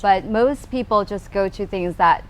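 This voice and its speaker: A woman's voice: mid-range voice, a little slow. Earnest woman explaining in a tasting room. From United States. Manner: earnest, explanatory, with frequent fillers. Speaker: co-founder of a taste and smell group. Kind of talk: casual conversation at a tasting. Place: tasting room in a tea factory. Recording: faint room noise.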